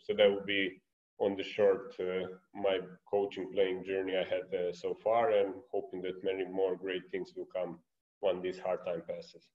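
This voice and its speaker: A man in his thirties with a Serbian accent, a basketball coach speaking conversationally as a guest on a muffled, echoey video call. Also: sits low. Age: 30s. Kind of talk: conversational online presentation. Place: bright room indoors by a window.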